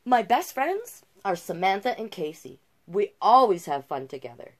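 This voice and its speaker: A woman's voice: mid-range voice, very animated, normal speed, a little hiss or hum. A middle-aged woman hosting storytime, reading a picture book aloud expressively and adding savouring asides of her own. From Canada. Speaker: drag king storytime host. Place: small cluttered indoor room.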